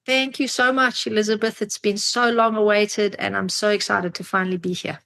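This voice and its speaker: Woman with a gravelly voice. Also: medium pitch, little pitch movement, fairly quick, sharp tone. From Zimbabwe, grew up in South Africa. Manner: thoughtful, searching for words. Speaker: podcast guest, psychologist and drummer. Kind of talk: reflective interview storytelling.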